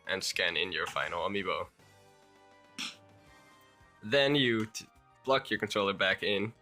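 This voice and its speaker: A man's voice: on the low side, pushed and bright. A young man with a Dutch accent, a bit sick, talking through a game tutorial in a rambling, hesitant way. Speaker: YouTuber.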